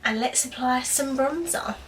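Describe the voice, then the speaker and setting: A woman's voice: sits high, moderate pace, recorded from a distance, noisy background. A young woman with a slightly nasal voice, getting over a cold, chatting through a makeup review in her bedroom with lots of "um"s and restarts. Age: young adult. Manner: chatty, rambling, many "um"s and restarts. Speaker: beauty vlogger. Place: small bedroom indoors.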